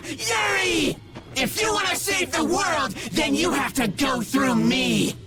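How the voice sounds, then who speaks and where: Deranged, wildly swooping villain. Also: male voice, very high-pitched, animated, slow pace, shouting, over music, exaggerated voice. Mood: frenzied rage. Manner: theatrical, maniacal, sing-song taunting. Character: deranged, battle-hungry male villain obsessed with his rival. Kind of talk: anime video game voice acting.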